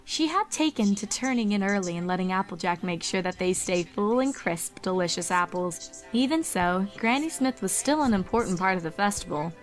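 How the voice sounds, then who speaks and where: A woman's voice: mid-range voice, expressive, moderate pace. A woman reading a scripted story as a dramatic narrator. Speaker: fan fiction narrator. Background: music under the reading.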